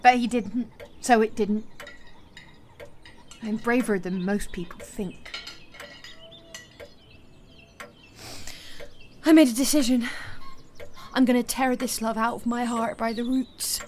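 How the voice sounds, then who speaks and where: A woman acting a high-voiced, world-weary young woman. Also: steady tone, measured pace, a little mellow, slight background noise, close to an everyday voice. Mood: resolute, wounded. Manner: blunt, wry, confiding. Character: frank, world-weary, lovelorn young woman who drinks. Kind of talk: naturalistic audio drama acting.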